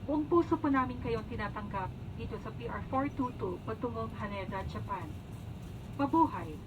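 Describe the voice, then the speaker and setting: Higher-voiced Filipino woman over a noisy cabin PA. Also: lively intonation, brisk, far from the mic. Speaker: flight purser. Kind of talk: cabin announcement, read out formally. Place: aircraft cabin. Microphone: cabin PA, captured by passenger's binaural microphone. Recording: cabin PA from afar, loud aircraft noise. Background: loud aircraft cabin hum.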